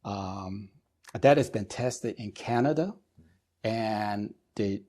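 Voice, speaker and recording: A middle-aged man speaking as an expert interview guest, explaining things in a measured, deliberate way with pauses between phrases. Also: fairly low pitch, mellow. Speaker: guest expert, air traffic controller and business executive.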